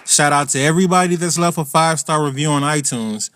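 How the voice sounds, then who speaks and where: A man's voice: a little high, quick pace, a bit bright, a faint crowd, a little hiss or hum. A man hosting a podcast, chatting casually and teasingly with lots of fillers, with faint music behind. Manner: laid-back, teasing, many fillers like "like". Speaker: podcast host. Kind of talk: casual podcast chat and show intro.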